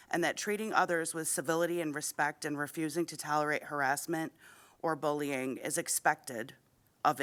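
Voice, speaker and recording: A woman's voice: sits low, fairly bright tone. A woman, a parent, speaking at a school board meeting into a podium microphone, reading a prepared statement in a formal, earnest way while slightly unwell. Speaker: parent giving public comment.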